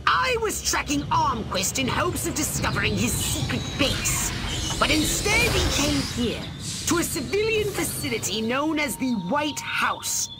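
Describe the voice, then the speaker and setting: A man's voice: very high voice, very animated, measured pace, over loud music, heavily acted. A man voicing a scheming, imperious alien commander in a video game, with haughty, precise, formal delivery. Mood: intrigued, clipped. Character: scheming, imperious alien commander briefing his soldier clone. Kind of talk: video game cutscene acting.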